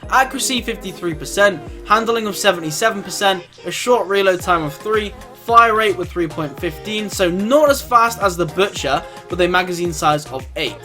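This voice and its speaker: A man's voice: high voice, expressive, moderate pace, hardly any pauses, bright, forceful tone, soft background music. A man giving an informal gameplay review commentary, chatting directly to his viewers. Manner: informal, addresses viewers as guys. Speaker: video game reviewer and host.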